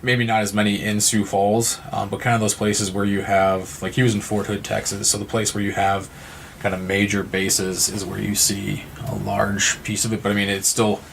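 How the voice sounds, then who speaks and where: A man speaking as a guest in a conversational interview, halting and often repeating words and restarting. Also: on the low side, level tone, gravelly, a little hiss or hum. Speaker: guest from a suicide-loss nonprofit.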